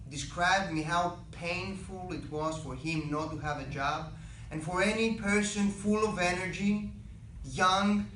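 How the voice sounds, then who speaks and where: A man's voice: high voice, a bit bright. Earnest young man in an echoey hall. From Greece. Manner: earnest, measured, emphatic on key phrases. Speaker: student speaker. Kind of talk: prepared public speech. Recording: echoey room, speaker far from mic, faint background noise.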